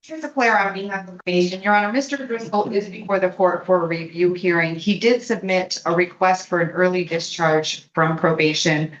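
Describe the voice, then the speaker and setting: A woman's voice: lowish voice, fairly even intonation, a little fast, hardly any pauses. A woman giving a formal report to the court as a probation officer, in echoey, muffled courtroom audio.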